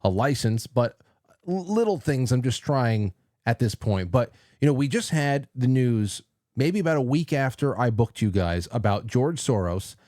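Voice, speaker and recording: A man hosting a casual interview show, talking in a rambling, halting way. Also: medium pitch, expressive, warm tone, no room sound, clean studio sound. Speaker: talk show host.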